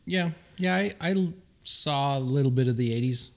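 Hesitant male guest, first language Swedish, mid-pitched casual talk. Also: moderate pace, vocal fry. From Utah. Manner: hesitant, many restarts and fillers. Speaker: podcast guest. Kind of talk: casual podcast chat.

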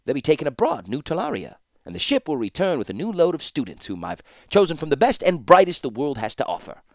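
A man's voice: on the high side, wildly swooping pitch, fast, no echo, studio quality, pitched above the performer's own voice. A man voicing an older male master artificer, amused and obsessed, speaking in a lofty, lecturing way.

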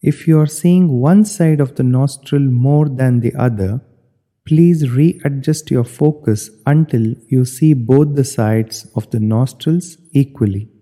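A man with a very soft, dark tone leads a guided meditation. He speaks slowly in measured, instructional phrases with space between them. His voice carries a long, hall-like reverb. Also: medium pitch.

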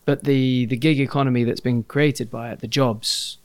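British man, mid-pitched voice, dry close mic, thoughtful podcast co-host. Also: fairly even intonation, normal speed, dry recording. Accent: British English. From Britain. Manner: thoughtful, explanatory, careful diction. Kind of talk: casual podcast chat.

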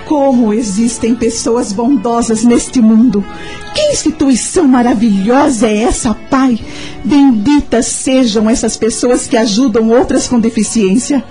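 Actress doing devout brazilian mom in a radio drama, earnest, mellow highish voice. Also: female voice, a little slow, soft background music, lightly acted. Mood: moved, grateful. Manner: earnest, questioning. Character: devout, troubled young mother seeking answers. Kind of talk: radio soap opera acting.

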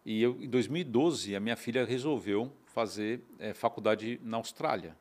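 A middle-aged man from São Paulo, telling his story as a guest in a casual conversation, animated and often restarting. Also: fairly low pitch, moderate pace. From São Paulo, Brazil. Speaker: guest entrepreneur.

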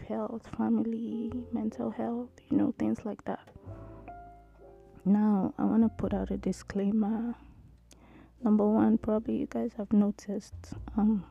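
A woman in her thirties with a tiny, soft voice hosting her own podcast, talking hesitantly with many ums. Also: mid-range voice, gravelly, muffled recording. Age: 30s. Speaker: podcast host. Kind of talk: casual solo podcast intro.

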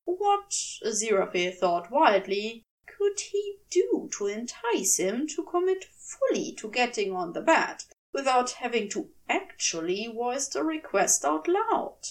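A woman reading fiction aloud as an audiobook narrator, in an expressive scripted storytelling style. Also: a little high, measured pace, hardly any pauses, recorded from a distance.

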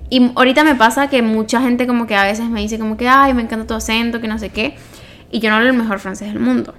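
A young adult woman with a fairly high voice and a Venezuelan Spanish accent. She talks as a podcast co-host in an informal, conversational way, reworking her sentences as she tells personal stories. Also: steady tone, fast talker, fairly bright tone. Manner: informal, self-correcting storytelling. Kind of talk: casual personal chat.

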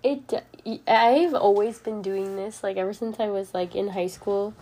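A woman's voice: mid-range voice, fairly even intonation, unhurried, mellow, poor audio. A young woman chatting casually in a bedroom, upbeat and filling her speech with "like". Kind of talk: casual chat.

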